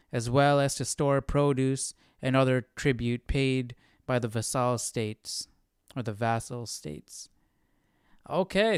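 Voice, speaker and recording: A man's voice: medium pitch, clean tone, no echo, clean studio sound. A man hosting a livestreamed study session, musing aloud informally and reading from notes. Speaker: host of a Bible study livestream. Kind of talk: casual live study commentary, thinking aloud and reading notes.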